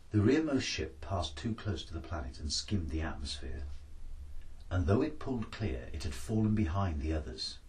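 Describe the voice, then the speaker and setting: A man's voice: rumbling low, recorded from a distance, poor audio. A man reading an adventure story aloud as a dramatic scripted narration. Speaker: author-narrator reading his book.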